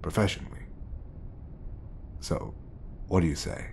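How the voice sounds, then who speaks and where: A man playing a smooth, scheming, obsessive bodyguard who feigns polite professionalism in an audio roleplay. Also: deep voice, very animated, measured pace, a little mellow, slight background noise, exaggerated voice. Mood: coaxing.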